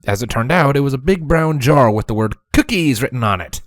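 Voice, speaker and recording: A man narrating a story from a script in an expressive reading style. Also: mid-range voice, a little fast, no echo, clean studio sound. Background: music.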